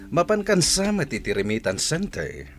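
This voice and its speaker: A man's voice: fairly high pitch, huge pitch swings, normal speed, faint music behind. A man acting a mocking, scheming husband in an Ilocano radio drama. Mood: taunting. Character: mocking, scheming husband of a kept-money wife.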